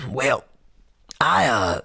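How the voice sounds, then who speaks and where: An actor in an audio drama playing a rattled, evasive man under pressure, halting and stammering. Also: mid-range voice, very animated, vocal fry, bright, forceful tone, breathy edge, exaggerated voice. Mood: nervous, halting. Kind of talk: naturalistic audio drama acting.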